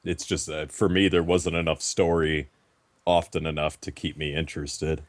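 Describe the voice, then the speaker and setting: Man, deep voice, casual caller, measured and slightly hesitant, dry sound. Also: little pitch movement, no echo. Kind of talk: casual chat.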